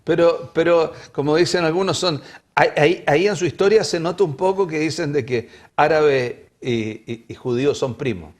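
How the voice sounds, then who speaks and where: A man's voice: on the high side, normal speed. Rambling male TV interviewer. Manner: rambling questions with fillers. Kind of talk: TV interview, asking questions.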